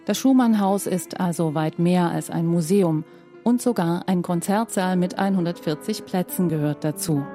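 A woman narrating a broadcast news feature in a measured, scripted way. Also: fairly low pitch, warm tone, soft background music. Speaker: news reporter.

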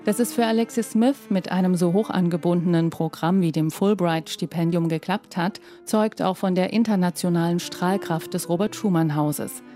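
A woman's voice: lowish voice, normal speed, hardly any pauses, over music. A woman reporter reading scripted narration for a news feature.

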